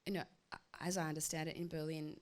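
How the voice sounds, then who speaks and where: A woman's voice: low voice, little pitch movement, fast. An Australian woman responding on a conference panel, asking questions in an enthusiastic, chatty way with frequent fillers. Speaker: panel respondent, government official. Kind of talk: panel discussion.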